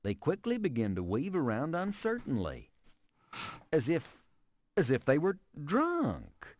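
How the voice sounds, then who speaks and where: A man's voice: on the high side, huge pitch swings, measured pace, darkish tone, heavily acted. A man voicing the narrator of an adventure game, delivering scripted narration with wry, mock-dramatic flair. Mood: dry.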